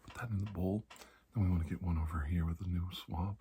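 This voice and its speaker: A man playing a calm, reassuring doctor in a soft-spoken ASMR medical roleplay, often dropping into a whisper with slow pauses. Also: deep voice, background noise. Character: calm, reassuring male doctor treating an alien affliction.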